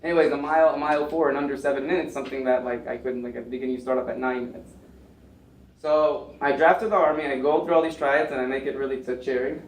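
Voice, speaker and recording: A man's voice: medium pitch, steady tone, quick pace. A young American man on a panel, telling his story casually with many fillers and restarts. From United States. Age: young adult. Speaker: panel speaker. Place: lecture room. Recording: echoey room, mic far off, faint noise.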